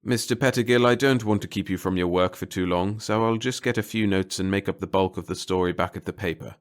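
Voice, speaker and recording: Man playing a bored, brisk tall reporter. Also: low voice, lightly acted. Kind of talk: audiobook character voice in a sci-fi short story.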